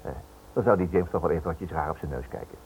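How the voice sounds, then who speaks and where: An actor playing a stubborn, inquisitive husband turned amateur investigator in a radio play, insistent and dismissive. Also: male voice, sits low, fast talker, darkish tone, a little hiss or hum, lightly acted. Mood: placating. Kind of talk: Dutch radio play acting. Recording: muffled, band-limited old radio play recording.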